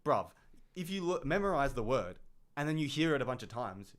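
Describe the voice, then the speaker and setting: A man's voice: mid-range voice, very animated, fairly quick. A man chatting casually on a live stream, blunt and laid-back, swearing freely. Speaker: co-host of a language-learning stream. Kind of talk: casual livestream chat. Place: room in Tokyo, live stream.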